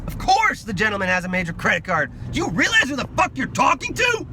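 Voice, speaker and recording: A man's voice: very high voice, wildly swooping pitch, quick pace, noisy background, voice pushed up, exaggerated voice. A man voicing a paranoid, belligerent, foul-mouthed attorney on a drug spree in a dramatic audiobook reading. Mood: furious. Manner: belligerent, snapping, muttering threats. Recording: music laid loudly under the voice.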